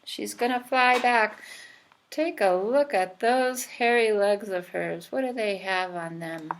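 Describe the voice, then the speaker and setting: A woman's voice: medium pitch, slow pace, slight background noise. A woman reading a children's book aloud, adding simple asides and questions to her listeners. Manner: explains pictures simply, prompting with questions.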